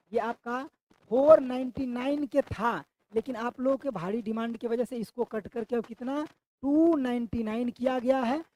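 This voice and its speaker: A man's voice: very high voice, a little mellow, poor audio. A man with a sore throat teaches an online exam-prep class, repeating each question back to his students.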